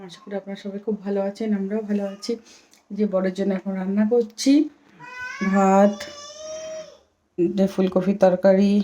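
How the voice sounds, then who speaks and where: A woman's voice: mid-range voice, mellow. A young adult woman, chatting casually and informally as she narrates a home vlog. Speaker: family vlogger, mother of a small child. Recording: noisy home recording with background ambience.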